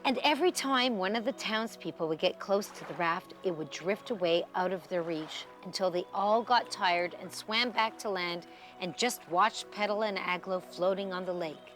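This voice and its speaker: A woman storyteller reading a children's tale expressively, with dramatic pauses and emphasis. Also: lowish voice, a bit bright, soft background music.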